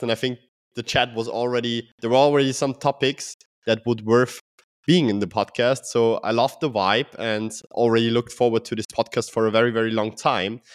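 A man's voice: mid-range voice, dry recording, studio quality. A man hosting a podcast who speaks with enthusiastic, effusive praise for his guest. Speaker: podcast host. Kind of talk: podcast interview.

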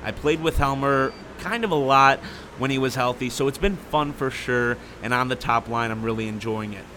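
A man's voice: mid-range voice, normal speed, noisy background. A young man hosting a sports radio show, reading a scripted report on location. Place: on location at hockey training camp arena.

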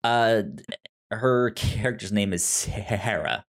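Slow, halting man discussing films. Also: on the low side. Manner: halting, repeats and searches for words. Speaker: podcast co-host. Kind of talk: casual film discussion.

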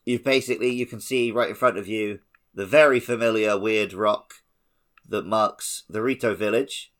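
Easygoing low-voiced man, jokey. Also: fairly even intonation, moderate pace, a bit bright. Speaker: podcast co-host. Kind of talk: casual chat about a video game playthrough.

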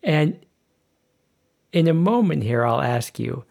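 Podcast host, man, slow and deliberate, gravelly, lively pitch, teasing interviewer. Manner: slow, emphatic, playful questioning. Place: Berlin.

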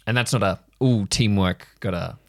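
Guy acting as kind awkward counsellor in an audio drama, lowish gravelly voice, careful and hesitant. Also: normal speed, close to an everyday voice. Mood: tentative, reassuring. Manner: hesitant, tentative, feeling out words. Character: gentle counselling acquaintance, slightly awkward, thoughtful, kind. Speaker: improvised audio drama player. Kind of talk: improvised audio drama dialogue.

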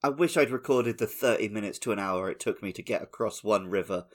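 A man with a slightly low voice, talking informally as a co-host on a gaming podcast. His delivery is that of a casual conversation about games. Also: fairly quick, crowd noise. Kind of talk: casual video game chat.

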